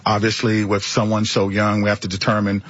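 A man speaking as a police chief at a press statement, deliberate and halting. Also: on the low side, completely flat delivery, fairly bright tone, dry recording, lo-fi sound.

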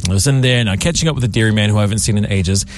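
A New Zealand man from Auckland hosting a radio show, telling stories in an animated, chatty way. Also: fairly low pitch, very fast. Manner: animated storyteller. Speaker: radio host. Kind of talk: casual radio chat. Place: radio studio.

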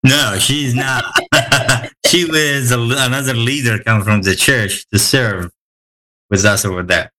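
A man's voice: medium pitch, takes it slowly, noisy background. A man with an Egyptian accent, a guest chatting casually with frequent fillers and easy laughs. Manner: hesitant, many fillers, laughs easily. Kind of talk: casual interview chat.

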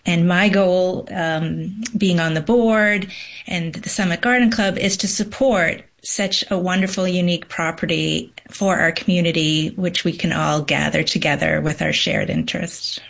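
A middle-aged woman from Massachusetts, a panel guest, who answers thoughtfully and pauses with ums as she reflects. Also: lowish voice, vocal fry, a bit bright. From Massachusetts, USA. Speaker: panel guest, garden club board member.

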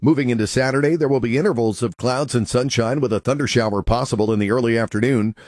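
A meteorologist, a man, reading a radio weather forecast in an even, informative way. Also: mid-range voice, fast, no echo, studio quality.

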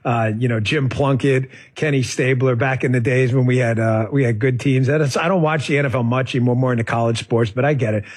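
Slightly husky man hosting talk radio. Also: mid-range voice, brisk, few pauses. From New York. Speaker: radio talk show host. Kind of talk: political talk radio conversation.

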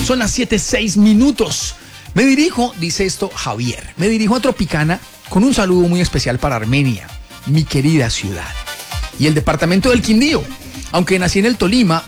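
A Colombian man hosting a morning radio show, chatting with listeners in a playful, colloquial, exclamatory way. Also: high voice.

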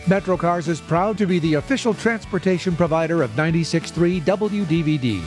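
A man with a slightly higher voice reading a polished corporate radio commercial. Faint music plays beneath him. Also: lively intonation, fairly quick. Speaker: commercial announcer. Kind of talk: scripted radio ad read.

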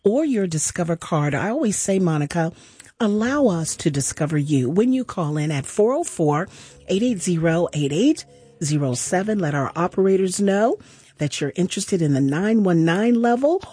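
Lively, breathy woman hosting a radio show. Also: on the low side, normal speed, dry recording. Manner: affirming, lots of mm-hmms, lively delivery. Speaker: radio host. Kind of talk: casual radio chat.

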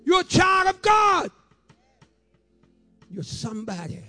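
A man in his seventies giving a fervent preaching testimony, repeating phrases rhythmically and breaking into shouts and half-sung lines. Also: very high-pitched, huge pitch swings, slow, no room sound, faint music behind. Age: 70s.

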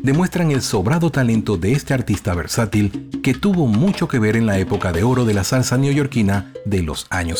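Male narrator, slightly low register, reads scripted documentary copy over a music bed.

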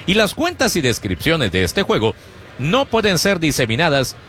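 A man calls a baseball game on the radio, pitch by pitch, with a lively voice that climbs and drops as the action moves. His voice sits a little high, and a faint hum of noise runs behind him. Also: moderate pace. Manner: animated, rhythmic pitch-by-pitch calling. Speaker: play-by-play announcer. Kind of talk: Spanish-language baseball radio commentary.

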